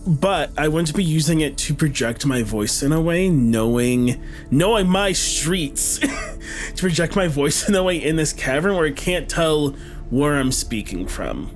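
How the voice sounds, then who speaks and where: A man's voice: a little high, lively intonation, unhurried, soft background music. A man chatting casually as a player at a tabletop game, thinking aloud and bantering.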